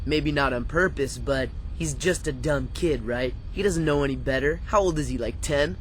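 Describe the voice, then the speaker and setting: A man's voice: mid-range voice, moderate pace, slight background noise, natural voice. Man acting a dry, blunt teenage tough guy. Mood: sceptical. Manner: dry, needling. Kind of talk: cartoon game voice acting.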